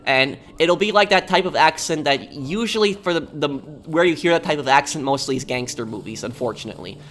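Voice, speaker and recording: A man's voice: on the high side, fairly quick, fairly bright tone. Rambling male game streamer from New York. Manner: rambling and talkative, with many restarts. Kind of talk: casual live-stream chat, answering viewers.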